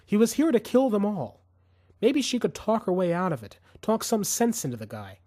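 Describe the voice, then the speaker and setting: Male audiobook narrator, dramatic, suspenseful, highly varied intonation, dry studio-like sound. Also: huge pitch swings, normal speed, mellow, no room sound. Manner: intense, suspenseful storytelling. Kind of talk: dramatic scripted fiction narration.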